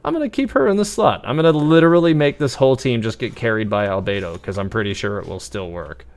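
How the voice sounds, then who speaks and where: A man's voice: medium pitch, expressive, fast talker. A man giving casual, rambling live gameplay commentary, thinking aloud as he streams. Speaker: game livestreamer.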